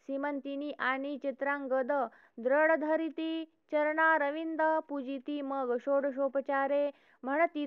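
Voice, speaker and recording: High flat-toned woman reciting verse. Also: dry recording. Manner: steady measured chanting recitation. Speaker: reader of devotional verse. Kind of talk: recited Marathi devotional verse. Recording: tinny phone-like sound.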